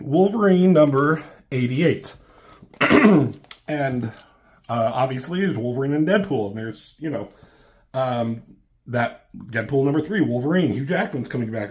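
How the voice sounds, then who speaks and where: A man in his thirties talks at home into his camera, still getting over a cold that leaves his voice a little off. He rambles in a loose, relaxed way, stopping for ums and the odd curse. His pitch sits in an ordinary middle range. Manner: loose, halting, many ums, casual swearing. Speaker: comic book reviewer. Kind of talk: casual rambling review. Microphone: camera mic.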